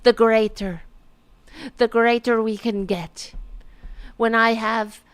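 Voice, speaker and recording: A woman's voice: fairly high pitch, fairly even intonation, slow pace, clean tone. A woman hosting a podcast with casual political commentary, sarcastic, mocking and profane, often exasperated and repeating her demands. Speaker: podcast host.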